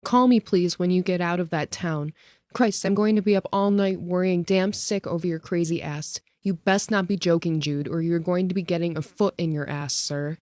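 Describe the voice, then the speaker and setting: A man voicing a sharp-tongued woman woken in the night, scolding and swearing as she worries. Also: high-pitched, steady tone, moderate pace, dry recording, studio quality, voice pushed up, natural voice. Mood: worried, scolding. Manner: sassy, scolding, swears freely. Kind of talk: audiobook character voice.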